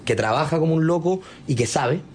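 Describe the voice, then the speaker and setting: A man's voice: a little high, lively intonation, brisk, a little mellow. A man with a Chilean accent hosting a political talk show, steering the discussion. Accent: Chilean Spanish.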